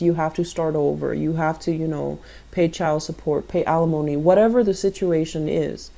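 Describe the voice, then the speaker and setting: A woman's voice: fairly low pitch, dark tone. A woman giving a conversational tarot card reading, explaining things and speaking directly to the listener. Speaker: tarot reader and channel host.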